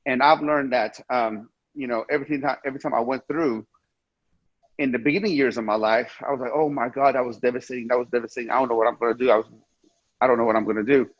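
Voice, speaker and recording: A man's voice: mid-range voice, fairly even intonation, fast, no echo. A man in his forties from Iran, a guest on an interview over a video call, talking earnestly with many repeats and restarts. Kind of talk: casual interview talk. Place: indoor room with ceiling fan, video call. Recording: muffled dry video call audio.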